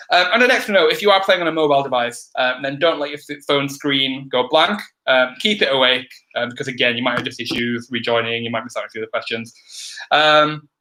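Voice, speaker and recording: Man, online quiz host, bright, crackly throat, rambling ums. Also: medium pitch. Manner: chatty, many ums, brisk instructions. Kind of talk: live-stream housekeeping announcements.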